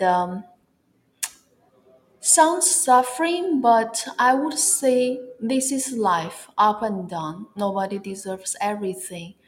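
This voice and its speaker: Woman, Chinese accent, non-native English, halting, pauses to find words, interview guest. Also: medium pitch. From China. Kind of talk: casual interview talk.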